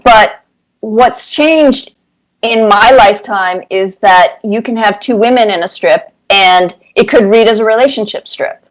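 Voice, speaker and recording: A woman's voice: mid-range voice, unhurried, low-quality recording. Thoughtful middle-aged woman being interviewed. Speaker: interview guest, cartoonist. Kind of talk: conversational interview answers.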